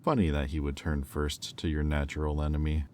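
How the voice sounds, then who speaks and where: A man playing a haughty, menacing demon in roleplay audio, speaking in a condescending, deliberate, taunting drawl. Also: extremely low pitch, flat delivery, a little mellow, a little hiss or hum. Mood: threatening, taunting. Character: haughty, menacing male demon buyer, coolly cruel and mocking. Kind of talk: slow, intimate roleplay audio acting.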